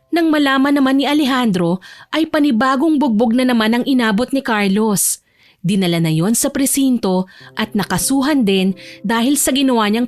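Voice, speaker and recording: A woman reading scripted narration for a dramatic romance audio story. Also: a little high. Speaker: narrator and voice actor.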